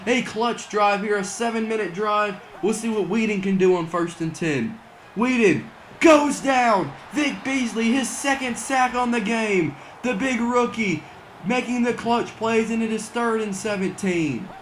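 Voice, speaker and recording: A young man doing excited mock broadcast commentary over a football video game, saying he is losing his voice. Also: high-pitched, a little hiss or hum. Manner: excitable play-by-play, rising to near-shouts on big plays. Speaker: gaming channel host doing play-by-play.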